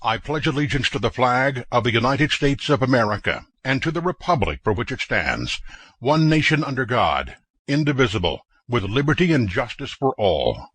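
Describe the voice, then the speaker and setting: A man's voice: medium pitch, very animated, normal speed, a bit bright, husky, dry recording. A man delivering a formal recitation.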